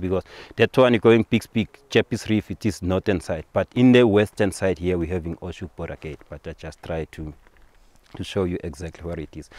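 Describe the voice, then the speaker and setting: A man's voice: low-pitched, a little mellow, dry recording. A man with a Southern African accent giving an informal guided tour, explaining things and repeating phrases as he goes.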